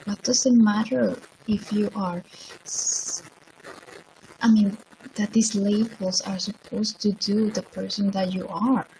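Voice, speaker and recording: A middle-aged woman from Colombia, speaking, gives a slow, reflective motivational livestream talk while sick, with many ums. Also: medium pitch, fairly even intonation, gravelly, mellow, slight background noise, poor audio. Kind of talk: livestream monologue.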